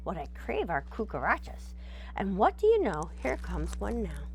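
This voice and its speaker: A woman voicing a hungry, picky male chupacabra in a storybook, theatrical, drawn-out and mock-polite. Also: mid-range voice, wildly swooping pitch, darkish tone. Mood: menacing.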